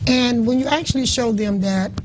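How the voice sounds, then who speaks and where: A woman's voice: on the high side, normal speed. Plain-spoken woman with noise behind her. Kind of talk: storytelling interview talk. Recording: noisy room with vehicle sounds behind.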